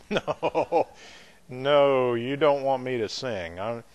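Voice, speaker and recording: A man leading a Bible study as pastor, chatting in a folksy, easygoing way between readings. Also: mid-range voice. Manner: folksy, chatty asides with light laughs; measured, emphatic scripture reading.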